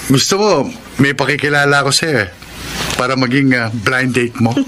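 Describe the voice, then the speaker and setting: A man's voice: medium pitch, steady tone, normal speed, sharp tone, a little hiss or hum, lightly acted. A man playing a contented, friendly young boyfriend in a Filipino radio drama. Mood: happy, teasing.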